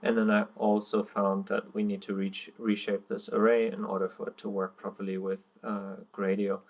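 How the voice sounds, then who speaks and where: A young man whose first language is German explains code at his screen, pausing often with ums and uhs. His voice sits low and gravelly, with little rise and fall. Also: level tone, a little mellow. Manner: hesitant, many ums and uhs, plain explaining. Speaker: coding tutorial presenter. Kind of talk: screen-recorded coding walkthrough.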